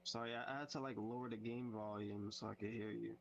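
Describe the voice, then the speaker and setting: Man, casual gaming voice chat, gravelly, hesitant, handheld console mic, faint hum. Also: on the low side, steady tone, fairly quick, muffled recording. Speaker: friend on voice chat.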